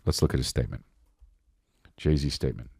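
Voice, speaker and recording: A man's voice: rumbling low, a little fast, creaky, darkish tone, breathy edge, dry recording, clean studio sound. A man hosting a comedy podcast, rambling casually in slang and profanity, scattered and often amused by his own jokes.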